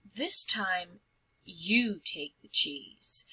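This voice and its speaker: A woman voicing a jittery, excitable female country mouse in a storybook, nervous and breathy. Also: on the low side, very slow, full character voice, rough recording. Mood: shaken.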